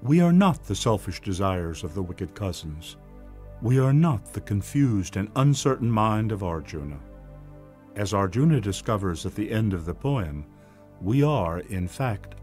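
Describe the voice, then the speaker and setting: A man reading a scripted, expository foreword in a measured, earnest manner. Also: fairly low pitch, a little mellow. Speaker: narrator of a foreword.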